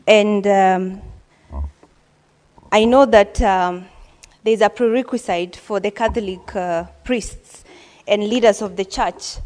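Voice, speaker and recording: A woman's voice: mid-range voice, takes it slowly, long pauses, a little mellow, big-room sound. A woman giving an earnest, formal speech as a senator, with frequent hesitations. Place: legislative chamber.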